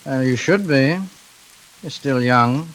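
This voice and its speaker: An actor playing an unhinged fugitive intruder in a 1940s radio thriller, halting and falsely polite as he threatens. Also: medium pitch, a bit bright, low-quality recording. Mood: brooding menace. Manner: mock-polite, halting, with sudden contempt. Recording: hissy vintage 1940s radio recording.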